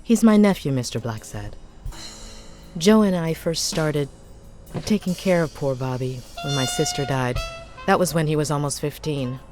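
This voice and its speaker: A woman's voice: deep voice, normal speed, a little mellow, soft background music, close to an everyday voice. An actress playing an anxious, polite woman in a 1950s noir game, speaking in an earnest, apologetic way. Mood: confessing, sad. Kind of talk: dramatic game dialogue.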